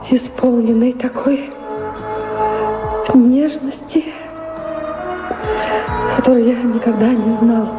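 An actress playing an anguished middle-aged noblewoman in a radio drama, speaking lyrically and with emotional weight. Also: on the high side. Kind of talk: theatrical radio drama acting. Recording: echoey hall reverb, music behind, radio play.

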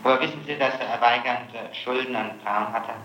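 A man questioning as a prosecutor, persistent and confrontational, with halting, unscripted phrasing. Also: medium pitch, background noise. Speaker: public prosecutor interrogating. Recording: echoey archival interrogation tape.

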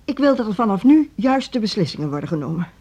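An actress playing a measured, firm school director in her fifties in a radio drama, deliberate and diplomatic. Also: mid-range voice, big pitch swings, mellow. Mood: calm, firm. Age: 50s. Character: measured, firm middle-aged female music school director. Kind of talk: Dutch radio drama acting.

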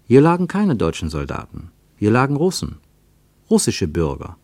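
A man narrating a radio travel play in a measured, lyrical way, with dramatic swings in pitch and loudness. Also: huge pitch swings, dry recording.

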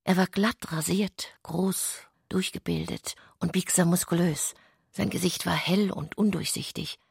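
A woman narrates a literary radio play in a measured, deliberate way. Her voice sits on the low side, with a husky, creaky grain. The sound is dry and close. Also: dry recording.

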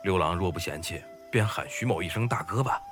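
A man's voice: low voice, brisk, fairly bright tone, faint music behind. A man acting a genial, hearty scholarly fisherman in his thirties, courteous and self-mocking in archaic period-drama speech. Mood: warm, hearty.

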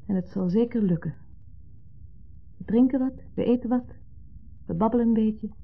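An actress playing a patient, mildly exasperated wife in a 1970s radio play, reassuring and matter-of-fact. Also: female voice, fairly high pitch, normal speed. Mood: soothing, insistent. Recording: muffled narrow-band 1970s radio recording.

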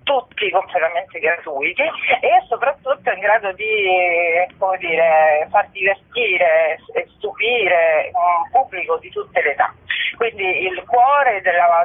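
A woman's voice: on the low side, breathy edge. A woman giving an interview as an organiser, speaking spontaneously and often searching for words. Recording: muffled lo-fi radio or phone line.

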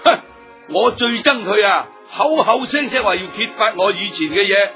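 Brash, bright-voiced crooked businessman. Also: high voice, expressive, normal speed, soft background music, heavily acted. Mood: angry, scornful. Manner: scoffing, blustering. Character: brash, crooked, womanising businessman, touchy and hot-tempered. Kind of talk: Cantonese radio drama acting.

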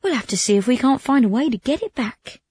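A woman's voice: high-pitched, lively intonation, quick pace, a little mellow, breathy edge, no room sound, voice pushed up, heavily acted. A woman voicing an eager male accomplice in a storybook, agreeable and conniving. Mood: helpful, conniving.

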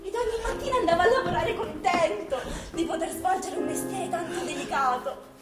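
An actress playing a storyteller who narrates a bittersweet fable in a theatrical fairy-tale style for radio drama. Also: female voice, very high voice, brisk. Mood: wistful, playful. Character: expressive female storyteller telling a bittersweet fable. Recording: roomy stage sound under loud music.

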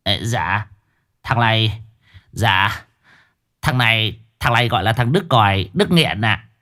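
A man's voice: lowish voice, animated, unhurried, pushed and bright. A man voicing a brutal, fat henchman who sneers and boasts with a cruel edge. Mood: fawning. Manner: sneering, hissing through teeth, boastful.

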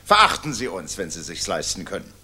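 A cold, self-righteous Nazi official in a sixties radio play. The delivery is sharp and forceful, with lively swings in pitch. Every phrase is clipped, declamatory and threatening. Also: male voice, a little fast, slight background noise, exaggerated voice. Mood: defiant menace. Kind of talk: 1960s German radio drama acting.

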